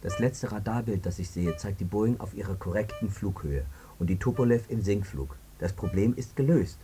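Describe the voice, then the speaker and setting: An actor playing a defensive air traffic controller in a radio drama, answering tersely and matter-of-factly. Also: male voice, fairly low pitch, animated, normal speed, dark tone, soft background music, lightly acted. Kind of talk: scripted radio drama dialogue. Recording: audible hum and noise, clicks.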